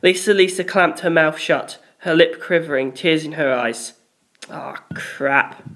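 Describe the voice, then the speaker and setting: A woman's voice: low voice, moderate pace. A woman reading a scripted first-person story as an audiobook narrator.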